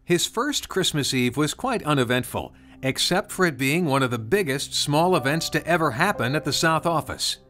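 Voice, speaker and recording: A man's voice: a little high, lively intonation, moderate pace, few pauses, sharp tone. A man reading scripted storybook narration of a Christmas tale. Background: music.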